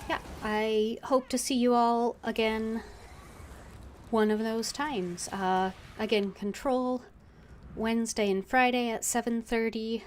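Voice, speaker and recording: A woman's voice: a little high, steady tone, takes it slowly. A woman streaming a game, giving casual live commentary. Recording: clearly noisy with game ambience.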